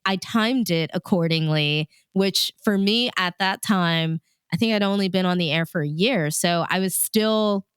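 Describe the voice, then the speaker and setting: Woman with a smooth, lowish, dry voice. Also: a little slow, no echo. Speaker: radio DJ and host, interview guest. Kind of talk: casual conversational storytelling.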